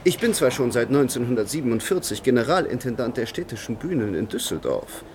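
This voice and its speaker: An actor playing a vain theatre director in a radio play, speaking in a declamatory, theatrical way. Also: male voice, medium pitch, very animated, a little fast, a little mellow, a little hiss or hum, lightly acted. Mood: grand.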